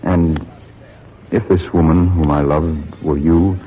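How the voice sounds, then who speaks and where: An actor playing a solemn, idealistic young colonial gentleman with a halting, earnest delivery in a radio drama. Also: extremely low pitch, steady tone. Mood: tender, earnest. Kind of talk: 1940s radio acting. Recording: dull, faintly noisy 1940s radio recording.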